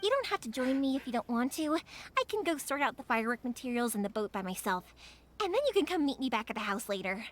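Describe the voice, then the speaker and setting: A woman voicing a cheerful, warm-hearted young fireworks maker in a game, friendly and reassuring. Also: on the high side, big pitch swings, fast talker. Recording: game audio with background music.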